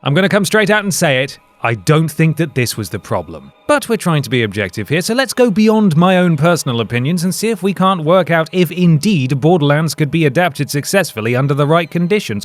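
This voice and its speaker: A man's voice: on the high side, dry recording. A man presenting a scripted video essay, with wry, dry humour and emphatic stresses. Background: background music.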